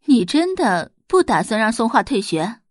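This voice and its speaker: A woman's voice: a little high, very animated, moderate pace, no room sound, clean studio sound. A woman voicing a smug, catty female schoolteacher in an audiobook, with a gloating, insinuating manner. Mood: probing. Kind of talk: audiobook character acting.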